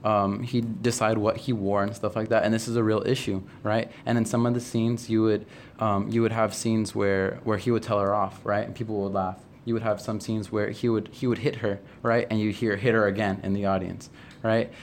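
A man's voice: fairly low pitch, little pitch movement, normal speed. Teenage male, level intonation, conversational explainer with frequent check-ins, background noise. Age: teens. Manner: checks in often with "right?" and "you know?". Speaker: high school theater student, podcast guest. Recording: clear background noise, unpolished room recording.

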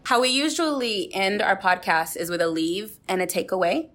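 A woman's voice: medium pitch, animated, normal speed, a bit bright. A woman hosting a casual group podcast, speaking in an encouraging, reflective way as she sums up what her guests say. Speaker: podcast host.

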